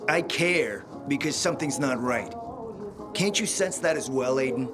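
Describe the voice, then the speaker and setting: A man's voice: medium pitch, expressive, moderate pace, close to an everyday voice. Man acting a suave, scheming game spymaster, music behind. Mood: probing, wary. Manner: theatrical, smooth, insinuating. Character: suave, scheming middle-aged male spymaster and smuggler.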